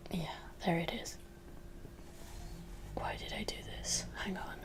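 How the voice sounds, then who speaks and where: A woman in her twenties from France, whispering a rambling, self-deprecating chat for ASMR, into a desktop microphone in a lamp-lit room at home. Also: slow pace. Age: 20s. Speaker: ASMR creator. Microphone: desktop USB microphone.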